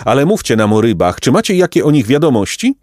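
A man voicing a vain, pompous, aristocratic pelican with a shrill squawking voice for a storybook. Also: very animated, fast talker, darkish tone, no room sound, clean studio sound, natural voice. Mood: grand. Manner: pompous, haughty, florid exclamations. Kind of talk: storybook character voice.

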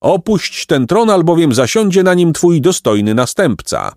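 A man's voice: fairly high pitch, very animated, normal speed, darkish tone, no echo, clean studio sound, voice pushed up. A man playing a stern royal herald in a storybook, making pompous proclamations.

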